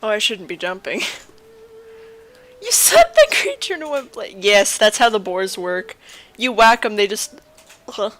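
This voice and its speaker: A woman's voice: medium pitch, big pitch swings, a little slow, a bit bright. A woman streaming a video game with casual, playful commentary, chattering to herself and exclaiming as she plays.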